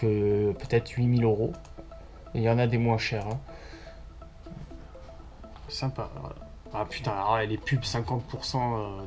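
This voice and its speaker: A man from southwestern France chatting casually to camera as a vlogger, informal and slangy with plenty of swearing. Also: medium pitch. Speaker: car vlogger.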